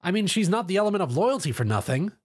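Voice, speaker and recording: A man's voice: high voice, sing-song, quick pace, husky, voice pushed up, heavily acted. A man voicing an eager, earnest young boy dragon in a storybook reading.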